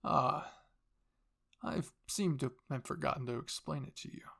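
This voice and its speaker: A man's voice: medium pitch, unhurried, gravelly, fairly bright tone, breathy edge, heavily acted. A man voicing a cold, scheming royal father who commands and explains without remorse in an anime fan fiction reading. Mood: coolly musing.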